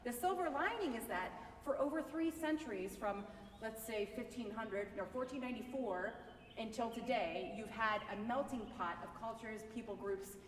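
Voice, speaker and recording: A woman harpist giving a chatty, explanatory spoken introduction at a live recital, with a loud voice and "okay?" asides. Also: a little high, moderate pace, slight background noise. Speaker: concert harpist. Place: large reverberant shrine hall, indoors. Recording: echoey hall, voice from far off.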